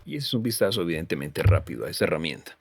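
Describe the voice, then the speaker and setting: A man's voice: sits low, very animated, rapid-fire, husky, no echo, soft background music. A man presenting a tutorial walkthrough in an explanatory way, often repeating and rephrasing himself. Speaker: presenter of a music software review. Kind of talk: tutorial walkthrough narration in Spanish.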